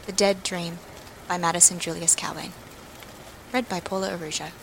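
A woman reading poetry aloud in a measured, expressive manner. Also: fairly low pitch, gravelly, noisy background. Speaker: guest poetry reader. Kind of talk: poetry recitation.

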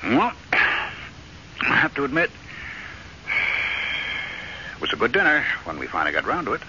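An actor playing a frustrated, restless American husband in an old-time radio drama, sardonic, emphatic and easily riled. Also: male voice, mid-range voice, huge pitch swings, a little slow. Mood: sarcastic. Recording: muffled, noisy old radio recording.

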